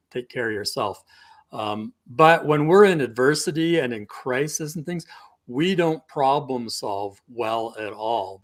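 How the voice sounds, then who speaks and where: A middle-aged man speaks in a mid-range voice as a coach on a live video call. His delivery is conversational and explanatory, the way someone walks listeners through examples. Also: mid-range voice. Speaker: couples coach. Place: indoor room, video call.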